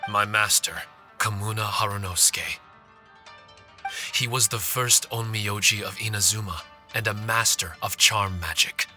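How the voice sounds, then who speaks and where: A man voicing a solemn, loyal spirit servant in a video game, speaking in a measured, formal way. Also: on the low side, natural voice. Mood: sombre. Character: solemn male paper-charm spirit servant, loyal and earnest. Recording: music behind the voice.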